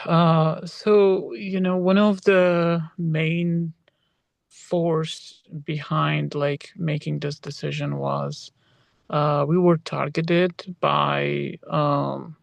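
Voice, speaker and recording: A man's voice: on the high side, gravelly, dry recording. A young man from Iraq, a doctoral student, answering interview questions hesitantly with many fillers and restarts. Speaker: interviewee, PhD student in social work.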